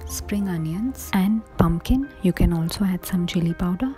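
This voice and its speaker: A woman giving calm, scripted recipe narration as a cooking narrator. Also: lowish voice, moderate pace, soft background music.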